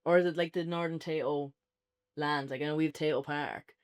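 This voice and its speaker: An Irish man with a fairly high voice for a male speaker. He talks in a casual, unscripted way, like a podcast co-host bantering through a review. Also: fairly even intonation, moderate pace, clean tone.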